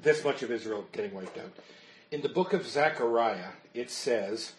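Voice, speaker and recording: A man's voice: medium pitch, moderate pace, slight background noise, poor audio. Pastor losing his voice. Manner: earnest, explanatory, answers questions. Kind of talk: Bible study teaching.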